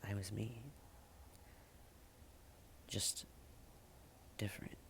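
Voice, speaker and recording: A man delivers slam poetry in a low voice that crackles with heavy vocal fry. The delivery is halting and deliberate, fairly flat in pitch, with long pauses and phrases that trail off into a hush. There is some background noise in the recording. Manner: halting, with dramatic pauses and hushed, breathy phrase endings. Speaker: slam poet. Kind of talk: spoken-word slam poetry, slow and repetitive.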